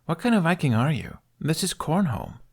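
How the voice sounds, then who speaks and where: A man's voice: a little high, wildly swooping pitch, darkish tone, slightly rough, pitched above the performer's own voice, heavily acted. A man voicing a brash, boastful young Viking girl thief in a storybook reading, chatty and cocky. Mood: scornful. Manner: chatty, cocky, fast-moving talk. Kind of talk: character voice in audiobook narration.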